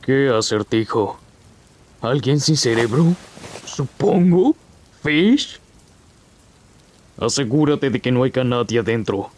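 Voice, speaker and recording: A man's voice: mid-range voice, animated, takes it slowly, long pauses, a little mellow. A man voicing a menacing, irritable gangster cat in a cartoon, impatient and sardonic. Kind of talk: animated fan comic dub.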